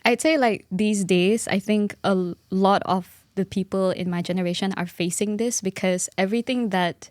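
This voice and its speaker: A woman's voice: medium pitch, normal speed, hardly any pauses, a little mellow, no room sound. A woman in her twenties from Malaysia, talking casually and informally as a podcast guest. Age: 20s. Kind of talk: casual conversation.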